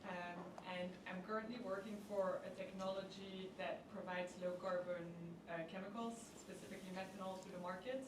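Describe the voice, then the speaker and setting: Audience woman from Belgium, monotone, distant in an echoey hall with clear noise. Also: medium pitch, a little fast, few pauses. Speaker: audience member asking a question.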